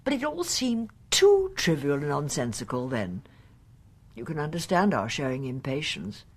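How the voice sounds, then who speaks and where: An actress playing a dignified middle-aged English aristocrat in a radio drama, formal, refined and a little contrite. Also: female voice, low voice, very animated, moderate pace, husky, full character voice.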